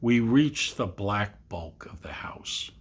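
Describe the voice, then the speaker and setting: A man giving an expressive, dramatic reading of a literary story, lingering over descriptive phrases. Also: on the low side, takes it slowly, noisy background. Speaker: amateur reader of a literary story.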